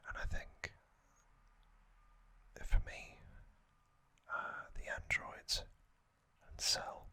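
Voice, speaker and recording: Slow, sharply bright male ASMR whisper. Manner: soft unvoiced whisper with frequent "uh" and "um" fillers. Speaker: ASMR channel host. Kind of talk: whispered ASMR trivia talk.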